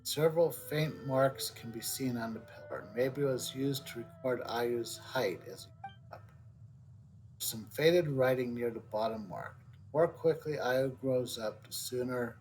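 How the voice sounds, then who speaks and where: A man casually commenting on his game playthrough, thinking aloud in a relaxed way and reading out on-screen text. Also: medium pitch, a little slow, poor audio. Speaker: game streamer. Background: faint game music.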